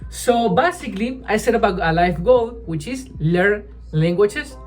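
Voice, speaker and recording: A woman whose first language is Spanish, chatting casually to camera as a language-learning vlogger, halting and restarting often and tagging sentences with "right?". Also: mid-range voice, lively intonation, faint music behind.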